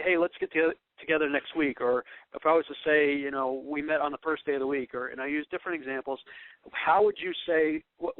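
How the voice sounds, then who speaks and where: A man's voice: fairly high pitch, no room sound. A man hosting a radio show, earnestly explaining scripture in a casual teaching monologue and thinking aloud as he goes. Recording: dry, phone-like narrow sound.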